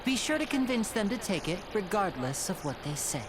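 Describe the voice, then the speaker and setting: A woman's voice: medium pitch, sing-song, a little fast, background noise, lightly acted. A woman playing a solemn, earnest citizen explaining grave matters in a video game. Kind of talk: video game dialogue, measured exposition.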